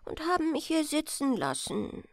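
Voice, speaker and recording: A woman's voice: high voice, sing-song, normal speed, vocal fry, dry recording, clean studio sound, pitched above the performer's own voice, heavily acted. A woman voicing a tiny, trusting lost little girl in a fairy tale, pleading and childlike. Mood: plaintive.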